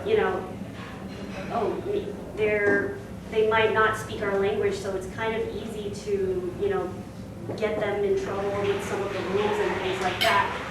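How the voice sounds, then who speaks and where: A woman's voice: medium pitch, flat delivery, a little slow. A young woman from Singapore giving a chatty talk to a club audience, with frequent fillers. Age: young adult. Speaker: speaker. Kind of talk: presentation to a club. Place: meeting room. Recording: echoey room, distant mic, noisy.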